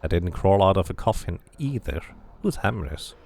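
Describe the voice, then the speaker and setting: A man voicing a calm, curious male game protagonist who asks plain questions. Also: sits low, moderate pace, creaky, full character voice. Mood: calm, dry.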